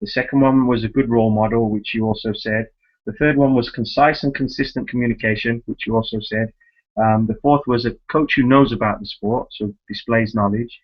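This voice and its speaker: A man's voice: mid-range voice, steady tone, brisk. A man hosting a conversational interview on a conference call, talking emphatically and often checking for agreement. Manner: emphatic, repeats words and seeks agreement with tag questions. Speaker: host, youth soccer club director. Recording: muffled, lo-fi conference call line.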